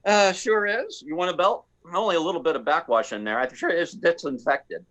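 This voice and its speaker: Man as bumbling, bright-voiced slacker pilot. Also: on the high side, natural voice. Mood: brazen, deadpan. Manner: halting, stammering, bumbling. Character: hapless, insubordinate, hard-drinking male slacker space pilot. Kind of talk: comic radio play acting.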